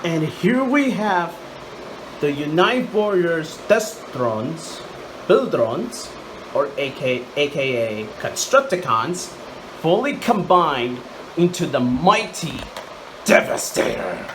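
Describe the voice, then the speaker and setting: A man hosting a casual hands-on toy review, speaking haltingly and repeating words and phrases. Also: a little high, very animated. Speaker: toy reviewer, channel host. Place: indoors, room at home. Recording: loud air-conditioner-like background noise.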